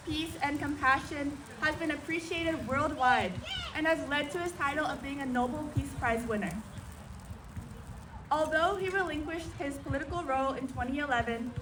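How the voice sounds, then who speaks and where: A woman giving an earnest prepared speech to a crowd at an outdoor park gathering. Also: high-pitched. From Seattle, USA. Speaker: event speaker. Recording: distant, noisy outdoor recording.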